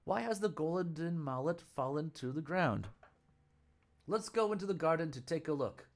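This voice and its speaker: A man's voice: on the high side, expressive, normal speed. A man voicing an anxious young male temple attendant who counts things carefully, speaking in an alarmed, exacting way.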